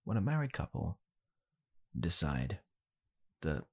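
A young man playing a blunt, callous father in an ASMR comedy roleplay, speaking softly and haltingly in a deadpan way. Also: low-pitched, husky, heavily acted. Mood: awkward, grave. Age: young adult. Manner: soft-spoken, halting, deadpan. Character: blunt, callous father calmly blaming his child. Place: small home room, indoors.

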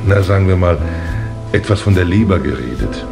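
An actor playing a tactful, dry police assistant in a 1950s German radio play, wry and choosing words hesitantly. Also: male voice, sits low, animated, a little fast, gravelly. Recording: music behind old radio play.

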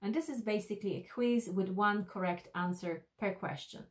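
A woman's voice: medium pitch, moderate pace. A woman in her thirties narrating an instructional tutorial as an e-learning instructor, explaining things directly to the viewer.

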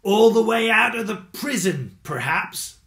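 A man's voice: sits high, expressive, measured pace, a bit bright, echoey room, voice pushed up. A man voicing an exasperated male badger leader, patient and strained.

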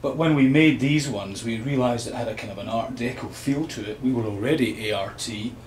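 A man in his fifties with a Scottish accent informally presenting a product as an exhibitor, explaining in a hesitant, unhurried way. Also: mid-range voice. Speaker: loudspeaker company exhibitor. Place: small hotel exhibition room, indoors. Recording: roomy hotel room, light background noise.

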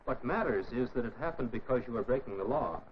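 An actor as a middle-aged American mentor in a 1950s educational film. He is firm and fair, lecturing with varied, lively intonation. The old soundtrack is dull, with some steady noise. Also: mid-range voice, close to an everyday voice. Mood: stern, lecturing. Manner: measured, lecturing.

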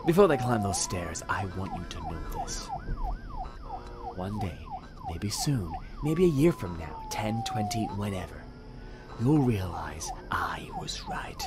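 A man's voice: medium pitch, huge pitch swings. An actor playing a sinister, self-righteous serial killer in an audio drama, taunting and theatrical as he philosophizes. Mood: ominous, philosophical. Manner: theatrical, deliberate, taunting menace with whispered dips. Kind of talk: audio drama villain monologue.

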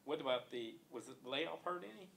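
A man's voice: mid-range voice, a little fast, a bit bright, a little hiss or hum. A male sports reporter asking long, rambling questions at a press conference.

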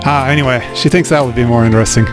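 A man's voice: mid-range voice, fairly even intonation, rapid-fire. A man hosting a let's play, translating and commenting on a game in a casual, wry way. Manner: casual, wry, dry humor. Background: game music.

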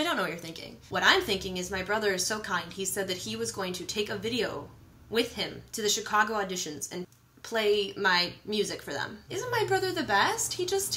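A woman in her thirties talking casually to camera in a vlog, with an animated, playful and candid manner. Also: mid-range voice. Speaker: vlogger and musician. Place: small home kitchen, indoors.

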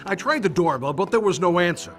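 An actor playing a nervous, apologetic newspaper reporter in a video game, flustered and ingratiating. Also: male voice, sits high, animated, brisk, fairly bright tone, faint music behind. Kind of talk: video game cutscene acting.

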